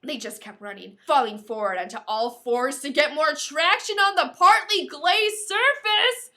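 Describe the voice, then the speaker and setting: A woman's voice: high voice, expressive, a little slow, pushed and bright. A woman co-hosting a podcast, reading a novel aloud with playful, exclamatory banter and theatrical delivery of the quoted dialogue. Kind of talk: book read-aloud with casual banter.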